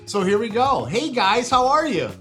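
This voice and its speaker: A man's voice: extremely high pitch, big pitch swings, normal speed, sharp tone, soft background music. A man hosting a faith podcast, upbeat and enthusiastic as he chats with his guests. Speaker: podcast host. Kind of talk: casual interview hosting.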